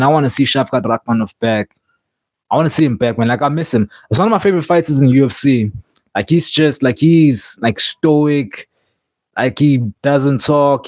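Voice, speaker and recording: Halting man in a dry-sounding room. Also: medium pitch, darkish tone, dry recording. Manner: halting, repeats himself often with "like". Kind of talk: casual chat about fights.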